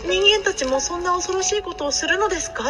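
Very high, earnest young servant. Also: female voice, fast talker, over music. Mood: troubled. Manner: polite, earnest, concerned. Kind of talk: Japanese audio drama acting.

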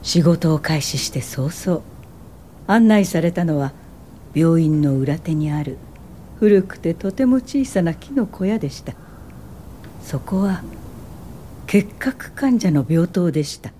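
A woman's voice: lowish voice, lively intonation, slow pace, pauses often, dark tone, slight background noise. An older woman acting as an earnest social worker who narrates her own life in a radio drama. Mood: reflective. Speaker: narrator and lead actor.

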